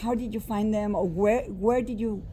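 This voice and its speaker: A woman's voice: mid-range voice, steady tone, moderate pace, mellow, a little hiss or hum. A woman in the audience asking a question at a festival Q&A, hesitant and restarting often.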